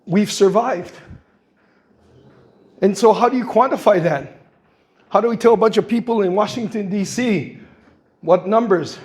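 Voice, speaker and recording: A man in his sixties from Guam, with a noticeably high voice, addresses a public forum. He tells stories in a plain, earnest way and acts out the voices of the people he quotes. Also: unhurried, long pauses, darkish tone. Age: 60s. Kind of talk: impassioned public comment. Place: public meeting hall.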